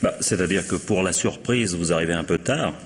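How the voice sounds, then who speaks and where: A deep-voiced actor's gentleman employer from an old radio mystery, composed and resigned. He reasons politely with a wry edge, over clear background hiss. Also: a little fast, lightly acted. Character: composed, resigned male employer under suspicion. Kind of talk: 1950s French radio mystery acting.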